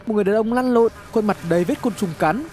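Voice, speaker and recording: A man's voice: high-pitched, fast, a little mellow, a little hiss or hum. A man reads scripted horror story narration in a dramatic, suspenseful storytelling manner. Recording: music behind the voice. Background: background music.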